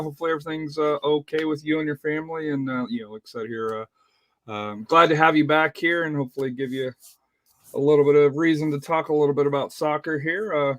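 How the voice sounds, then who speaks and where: A man's voice: fairly high pitch, a bit bright, no room sound. A man, hosting a casual soccer talk show and rambling with lots of fillers. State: slightly raspy voice. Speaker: podcast host.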